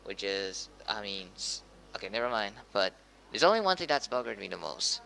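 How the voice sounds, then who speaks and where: A man's voice: lowish voice, very animated, normal speed, gravelly, bright, forceful tone, full character voice, poor audio. A man playing a hesitant local TV news anchor, informal and stumbling over his words in an amateur drama. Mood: questioning, hesitant. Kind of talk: amateur acted news interview.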